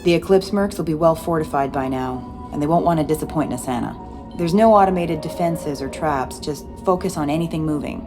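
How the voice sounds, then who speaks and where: A woman's voice: low voice, brisk, over music, lightly acted. A woman playing a tough, cynical former security chief in video game dialogue, blunt and guarded. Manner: blunt, matter-of-fact.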